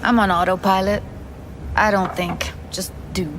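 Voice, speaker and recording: A woman's voice: medium pitch, fairly even intonation, normal speed, noisy background, natural voice. An actress playing a blunt, weary young woman tech rebel in a video game, speaking in terse, matter-of-fact phrases. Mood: weary, curt. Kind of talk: cinematic game dialogue.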